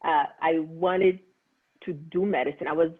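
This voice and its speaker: A Haitian-accented man, a physician on a conference panel, speaks earnestly and without hurry, often pausing on fillers. His voice sits fairly high for a man. It comes through a narrow, tinny, phone-like line. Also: steady tone, slightly rough. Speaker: OB-GYN physician. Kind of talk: panel conversation.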